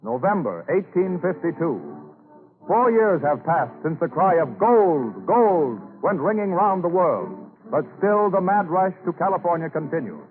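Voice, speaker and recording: A man's voice: fairly high pitch, big pitch swings, a little slow. A man narrating a scripted 1930s radio drama in a theatrical, declamatory way. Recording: tinny old radio recording. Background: orchestral music.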